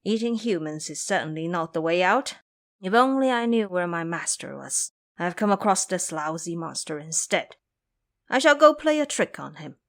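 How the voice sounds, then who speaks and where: A woman's voice: lowish voice, expressive, moderate pace. A woman voicing a cunning young male dragon, a sly trickster in an audio drama. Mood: scheming.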